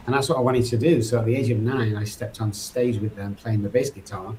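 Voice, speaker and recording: Man, Manchester accent, middle-aged, low-pitched, earnest, rambling, faint noise. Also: steady tone, brisk. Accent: Mancunian English. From England. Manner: earnest, loose storytelling with restarts. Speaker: podcast guest. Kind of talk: candid personal recovery story.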